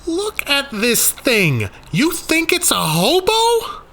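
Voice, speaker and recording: A man playing an eager, stubborn amateur monster investigator in an audio story, excited and incredulous. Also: extremely high pitch, slow, a little hiss or hum, pitched above the performer's own voice, exaggerated voice. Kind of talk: acted dialogue in an audio story.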